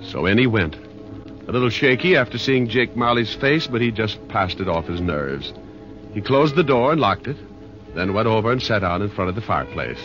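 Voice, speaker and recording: Low-voiced old-radio storyteller over music. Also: male voice, sing-song, moderate pace, a bit bright. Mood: storytelling. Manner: plain, colloquial. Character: plainspoken male storyteller of a Christmas tale. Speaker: narrator. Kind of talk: 1940s radio scripted narration. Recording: muffled 1940s radio, music behind.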